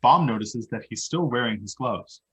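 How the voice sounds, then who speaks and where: A man's voice: medium pitch, animated, moderate pace, sharp tone, slightly rough, poor audio. A man giving scripted narration, reading the stage directions of a play.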